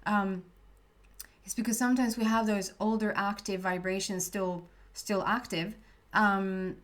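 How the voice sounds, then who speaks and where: A woman's voice: medium pitch, steady tone, unhurried. Chatty Swedish-accented woman tarot reader. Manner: chatty, searching for words, self-correcting. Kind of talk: casual tarot reading to camera.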